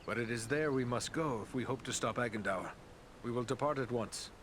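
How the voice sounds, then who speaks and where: A man's voice: mid-range voice, a little fast, a bit bright, lightly acted. Man as earnest fantasy hero, noisy ambience. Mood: earnest, respectful. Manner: formal, sincere. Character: earnest, respectful male hero on a quest. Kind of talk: dramatic fantasy game acting.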